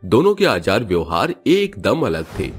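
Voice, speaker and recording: Male narrator for cartoon tales: lively intonation, lowish pitch, music underneath. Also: normal speed. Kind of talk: scripted cartoon story narration.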